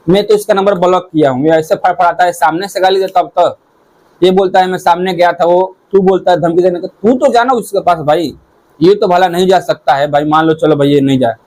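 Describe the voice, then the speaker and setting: A man's voice: sits high, darkish tone, poor audio. A man gives informal commentary to viewers, talking in a repetitive, hectoring way. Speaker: YouTube commentator.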